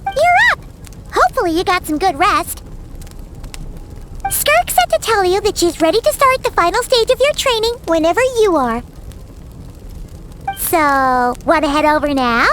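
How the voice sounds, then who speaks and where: A woman's voice: very high-pitched, very animated, normal speed, pauses often, noisy background. A woman playing a tiny, perky sidekick creature in a video game, bubbly, childlike and casual. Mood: cheerful, casual. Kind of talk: cartoonish video game voice acting.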